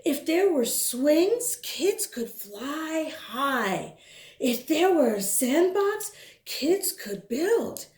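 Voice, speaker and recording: High, eager little storybook girl acted by a woman. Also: big pitch swings, extremely slow, heavily acted. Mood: earnest pleading. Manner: bright-eyed, emphatic, pleading. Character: eager, hopeful young girls pitching playground ideas. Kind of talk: storybook character voice. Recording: roomy indoor echo.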